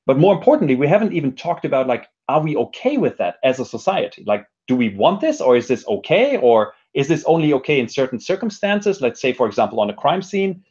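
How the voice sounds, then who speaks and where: Slightly muffled man, reflective and halting. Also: medium pitch, sing-song, a little mellow, husky. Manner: reflective, hesitant, frequent self-restarts and hedging. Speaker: interview guest, technology educator and speaker. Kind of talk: thoughtful conversational interview.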